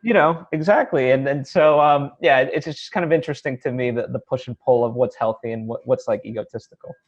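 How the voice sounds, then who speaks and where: Casual man, slightly muffled, thinking aloud. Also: mid-range voice. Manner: halting, thinking aloud, heavy use of "like" and "you know". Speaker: interview host, independent filmmaker. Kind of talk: casual chat.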